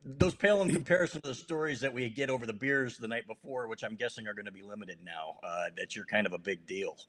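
A man's voice: lowish voice, fast, a bit bright, low-quality recording. An American man interviewing a guest in a casual sports chat, with a teasing, bantering way of talking. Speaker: interviewer, host.

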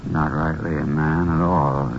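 An actor playing a weary, wounded male crime victim, gravelly and halting, in a radio drama. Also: a bass voice, level tone, heavily acted. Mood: weary, pained. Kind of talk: 1950s western radio drama acting. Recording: muffled, hissy 1950s radio recording.

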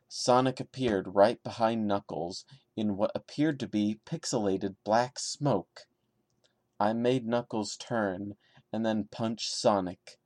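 A man with a fairly low voice works as a podcast host performing a creepy story. His delivery is theatrical and mocking, in a dry, close-sounding recording. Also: a little mellow, no echo. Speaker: podcast host reading and commenting. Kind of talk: dramatic horror story reading with sarcastic asides.

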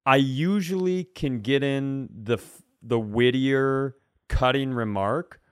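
A man's voice: medium pitch, slow pace, smooth, no room sound, clean studio sound. A man co-hosting a comedy podcast, telling stories in a casual, self-deprecating and sarcastic way, with casual swearing. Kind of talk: casual comedic chat and storytelling.

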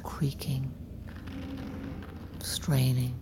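Very low-voiced grieving mother. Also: level tone, creaky, very noisy, exaggerated voice. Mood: grieving, hushed. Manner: slow, deliberate, accusing, often hushed. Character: grieving, bitter, unforgiving bereaved mother. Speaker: actor in a fiction podcast. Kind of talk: dramatic audio drama acting. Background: faint music.

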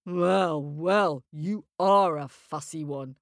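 A towering, two-headed male giant with a greedy, tricky streak, booming and bellowing as he coaxes, as a woman storyteller plays him. He talks slowly in a dry, close sound. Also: dry recording. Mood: booming, wheedling. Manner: roaring, bellowing.

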